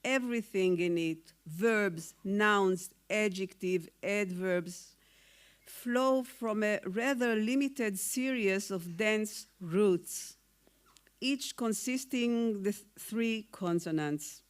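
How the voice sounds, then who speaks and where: A woman whose first language is Hungarian, a poet giving a formal acceptance speech in a slow, measured, deliberate reading. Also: mid-range voice, smooth. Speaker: award-winning poet.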